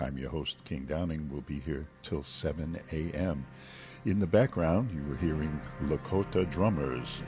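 A man's voice: extremely low pitch, muffled recording. A man hosting a live radio interview show, speaking to his guests and listeners. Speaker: radio host.

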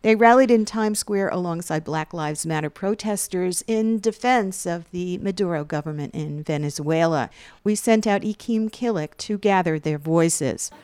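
A woman anchoring a newscast, reading scripted reports in a steady, measured way. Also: fairly low pitch, clean tone, dry recording. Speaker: news anchor. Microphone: studio mic.

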